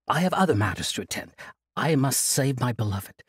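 A man's voice: medium pitch, lively intonation, normal speed, slightly rough, no echo. A man voicing an eccentric, cheerful old monk with a sing-song, scatterbrained manner. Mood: jovial.

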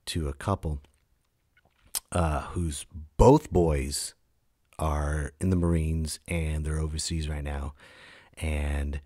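A man's voice: extremely low pitch, warm tone, dry recording, studio quality. A man in his late thirties hosting a solo podcast, ranting and telling stories casually in a blunt, emphatic manner. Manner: emphatic, blunt, swearing, acts out quoted lines. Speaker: podcast host.

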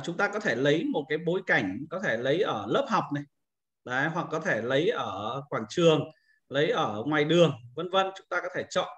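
A man's voice: fairly high pitch, sharp tone. A man narrating an informal step-by-step screen-share tutorial as an instructor, explaining and often adding short confirming tags.

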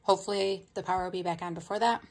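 A woman's voice: on the low side, steady tone, racing, a little mellow. A middle-aged woman, chatting casually and matter-of-factly in a rambling home vlog. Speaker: home vlogger. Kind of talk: casual chat, showing a grocery haul.

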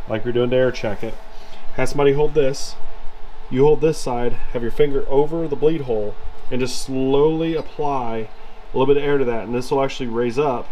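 A man's voice: medium pitch, warm tone. Plain-spoken man teaching over garage noise. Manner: plain-spoken, step-by-step instruction. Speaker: mechanic demonstrating a repair on video. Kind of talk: hands-on tutorial, unscripted explanation. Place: indoor garage workshop at a workbench. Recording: clearly noisy workshop camera audio. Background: clear workshop background noise.

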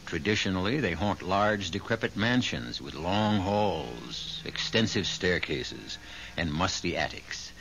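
An actor playing a wry, ominous host of a radio mystery show, speaking in a theatrical, measured way. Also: male voice, low voice, lively intonation, vocal fry, a bit bright, full character voice. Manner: theatrical, wry, measured delivery with drawn-out phrases. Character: wry, ominous male host of ghost tales. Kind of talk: dramatic 1970s radio narration. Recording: muffled, hissy old radio broadcast.

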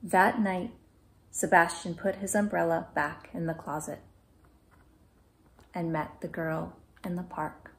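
A woman in her thirties reading a children's picture book aloud in a gentle, measured, clearly phrased way. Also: lowish voice, level tone, a little hiss or hum. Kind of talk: children's storybook read-aloud. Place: small indoor room at home.

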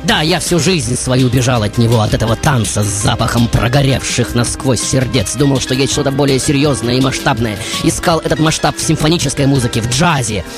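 Brooding male tango composer, played by a male radio host, poetic and dramatic monologue, mid-pitched, music behind. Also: close to an everyday voice. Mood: reflective, intense. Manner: dramatic, poetic, long deliberate pauses. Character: passionate, brooding male tango composer telling his own life.

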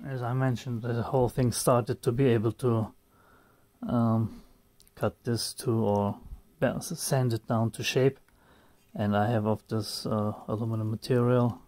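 A man's voice: medium pitch, steady tone, unhurried, darkish tone. A man talking through his DIY build in a home workshop, thinking aloud with many fillers, restarts and some phrasing. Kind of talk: unscripted workshop walkthrough.

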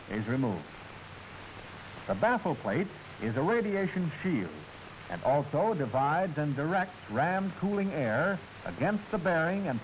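Hissy 1940s American male instructional narrator. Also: a little high. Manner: formal, clearly enunciated, instructive. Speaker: training film narrator. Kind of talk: scripted instructional narration, 1940s military film. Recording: hissy, noisy 1940s film soundtrack.

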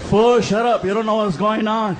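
A man voicing a hot-tempered young guy with a gruff, tough-guy delivery who keeps calling people fool. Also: sits high, steady tone, moderate pace, crowd noise, lightly acted. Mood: angry. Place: comedy stage before a live crowd. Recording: live show with audience, noisy.

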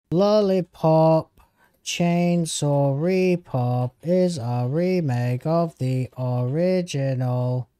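A man's voice: fairly high pitch, mellow, clear, resonant tone, dry recording, studio quality. A man in his thirties streaming from home on a headset mic, reacting to video clips in casual, argumentative commentary. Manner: argumentative, talks back at the clips.

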